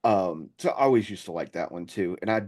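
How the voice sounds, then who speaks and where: A man hosting a casual chat, feeling his way through sentences in a halting delivery. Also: low voice, lively intonation, darkish tone. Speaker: podcast host.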